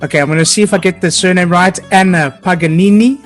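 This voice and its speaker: Upbeat male podcast host, slightly high voice. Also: fast talker, faint music behind. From Portugal. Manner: upbeat and friendly, with frequent ums and restarts. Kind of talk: conversational podcast interview.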